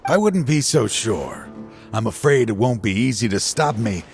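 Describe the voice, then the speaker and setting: A man's voice: medium pitch, animated, moderate pace, faint music behind, natural voice. An actor playing a bitter, regretful middle-aged former lawman turned video game villain, with weary, brooding delivery. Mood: taunting. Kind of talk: dramatic video game voice acting.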